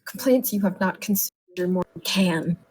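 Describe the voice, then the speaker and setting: A woman's voice: fairly low pitch, moderate pace, a little mellow, breathy edge. A woman voicing a polite but eerie security officer in a horror bedtime story. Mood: ominous.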